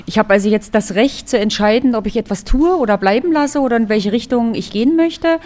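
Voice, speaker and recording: A woman reflects articulately in an interview. Her voice is fairly high, and she speaks in measured, well-formed sentences. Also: noisy background.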